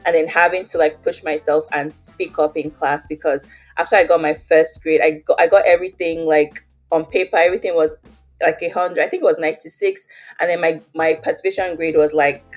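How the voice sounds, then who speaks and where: A woman's voice: low-pitched, fairly even intonation, a little fast, faint music behind. A young woman with a Nigerian accent, telling her story as an interview guest in a chatty way, with many fillers. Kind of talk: casual interview, personal story.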